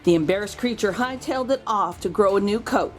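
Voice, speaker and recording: A woman's voice: mid-range voice, fairly quick. A woman reading a children's picture book aloud expressively, drawing out key words for emphasis. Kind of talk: expressive storybook read-aloud.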